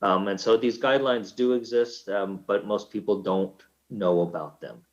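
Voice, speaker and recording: Low-voiced man giving a medical talk. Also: lo-fi sound. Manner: frequent uh and um fillers, measured presenting. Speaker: physician presenter.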